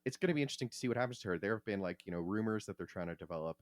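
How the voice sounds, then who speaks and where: A man with a fairly low voice chats as a podcast co-host. He is wry and casual and swears freely. The recording is dry and close. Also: expressive, racing, no echo.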